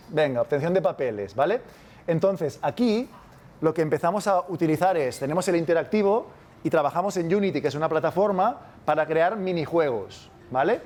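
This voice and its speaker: A man's voice: on the high side, darkish tone. A man from Spain with a Spanish accent giving a casual university masterclass, constantly checking in with his audience. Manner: casual, keeps checking with "¿vale?". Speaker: university lecturer. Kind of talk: masterclass lecture.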